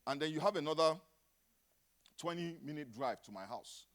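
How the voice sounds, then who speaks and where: A middle-aged Nigerian man preaching a sermon, dropping to a hush and then rising to loud exclamations. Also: a little high, animated, moderate pace. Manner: dramatic, swings from hushed to shouting. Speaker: pastor.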